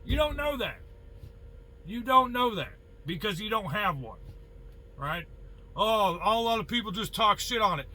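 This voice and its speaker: An American man with a high, very bright and forceful voice speaks like a working trucker giving advice. He is blunt and folksy, casually opinionated, with mild cursing and questions thrown at the listener. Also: expressive, measured pace, long pauses, rough recording. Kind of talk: casual opinionated monologue to viewers.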